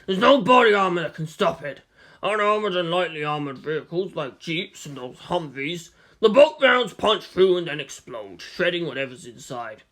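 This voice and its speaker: A man voicing a weary, blunt old gunsmith in his seventies with a gravelly voice and a matter-of-fact manner, in a storybook reading. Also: a little high, expressive, moderate pace, fairly bright tone. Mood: matter-of-fact, grim.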